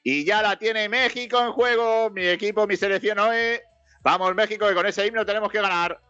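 A man's voice: high voice, moderate pace, pushed and bright, clean tone, no room sound, lo-fi sound. A man from Spain with a Spanish accent, chatting casually and colloquially as a football commentator, often repeating himself. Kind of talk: casual match chat and live commentary.

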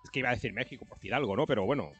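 A man's voice: medium pitch, racing, fairly bright tone, slightly rough, muffled recording, soft background music. A man with an accent from Spain, co-hosting football coverage in casual, colloquial, rambling chat. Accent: Spanish from Spain. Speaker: football commentator and co-host.

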